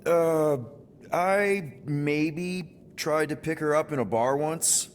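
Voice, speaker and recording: A man's voice: on the high side, takes it slowly, a little hiss or hum. An actor voicing a flippant but good-hearted space adventurer in a video game, casual and a little hesitant in his speech. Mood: joking, flippant. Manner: casual, joking, hesitant when sincere. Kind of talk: cinematic video game voice acting.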